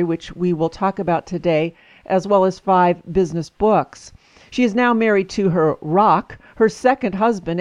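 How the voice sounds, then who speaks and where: A woman's voice: on the low side, a little mellow, no echo. A woman hosting an interview, talking enthusiastically and laughing often as she introduces and questions her guest. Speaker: podcast host. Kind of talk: interview conversation.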